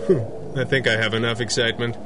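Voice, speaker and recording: A man's voice: medium pitch, little pitch movement, fast talker, noisy background, lightly acted. A man playing a wealthy, reserved bachelor who is secretly a masked crimefighter, in a 1930s radio adventure with a dry, proper, understated manner. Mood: dry, guarded.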